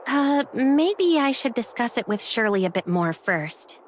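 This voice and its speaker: Guarded young heroine, fairly high voice. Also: female voice, big pitch swings, measured pace, slight background noise. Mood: hesitant. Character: skeptical, hesitant young game protagonist. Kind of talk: anime game voice acting.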